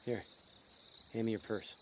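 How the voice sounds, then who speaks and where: A man's voice: a little slow, a little mellow, slight background noise, natural voice. An actor playing a laid-back, cocky small-time con man in a noir audio drama, wry and slangy. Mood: low, probing.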